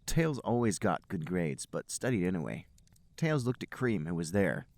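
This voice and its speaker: A man's voice: low voice, very animated, normal speed, a little mellow, no room sound. A man hosting a podcast, reading comedic narration aloud. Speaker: podcast host and reader.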